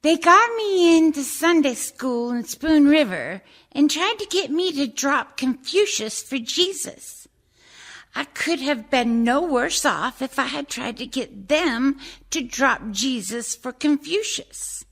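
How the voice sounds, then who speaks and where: A woman playing a dead immigrant, bitterly wronged and mournful, in a measured, plaintive radio-play monologue. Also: high-pitched, expressive, fairly bright tone, heavily acted. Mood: bitter irony.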